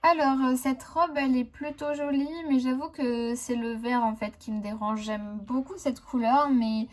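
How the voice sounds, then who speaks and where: A high-voiced middle-aged flight attendant who hesitates and fills her sentences with little pauses, played by a woman. Everyday, chatty toy-figure cartoon delivery. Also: steady tone, lightly acted. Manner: hesitant, many filler pauses.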